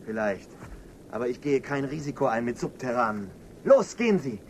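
An actor playing a defiant, distrustful surface-dweller who gives curt orders in a science fiction radio drama. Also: male voice, mid-range voice, big pitch swings, normal speed, slight background noise. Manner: curt, barking commands.

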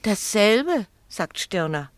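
A worried, superstitious young farm maid in a 1950s radio drama. She talks slowly and haltingly, with big swings of pitch, deferential and secretive, in a dry studio sound. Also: sing-song, dry recording. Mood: earnest. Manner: hesitant, breaking off and restarting, deferential. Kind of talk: 1950s German radio play acting.

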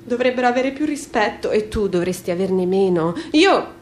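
A woman voicing a sneering, sociopathic, chatty talking turd with a croaking delivery, in a dramatic literary reading. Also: medium pitch, lively intonation, normal speed, echoey room, slight background noise, lightly acted. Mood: smug defiance. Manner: taunting, brazen, chatty.

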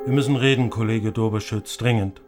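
An actor in a radio drama playing a stern, officious East German functionary who speaks formally and reprimands insistently. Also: male voice, on the low side, fairly even intonation, a little fast, over loud music, lightly acted.

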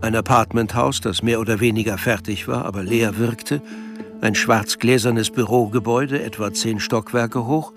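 A man's voice: fairly low pitch, steady tone, normal speed, soft background music. A man reading scripted literary narration as the narrator of a radio drama.

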